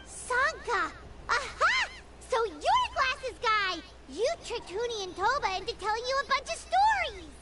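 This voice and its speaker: A woman voicing a tiny, excitable floating fairy companion with a chirpy, blunt way of talking. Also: extremely high pitch, background noise, heavily acted. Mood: excited. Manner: chirpy, blunt, quick to accuse.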